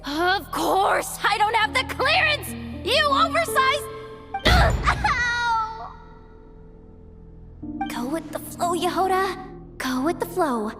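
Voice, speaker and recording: High, frazzled young techie. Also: female voice, big pitch swings, slow, long pauses, loud music behind the voice, full character voice. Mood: exasperated. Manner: flustered, self-talking. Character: plucky, frazzled young female ex-technician, resourceful. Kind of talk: anime video game voice acting.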